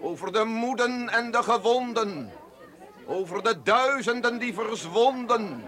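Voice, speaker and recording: An actor playing a solemn orator who declaims a poem of peace in a radio play, with a crowd behind him. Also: male voice, very high-pitched, lively intonation, measured pace, a bit bright, full character voice.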